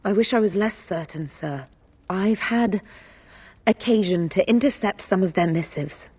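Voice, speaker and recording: Soft, husky noble informant. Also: female voice, medium pitch, unhurried. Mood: secretive, grave. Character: guarded, conspiratorial noblewoman informant, wary and shrewd. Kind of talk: scripted fantasy game dialogue.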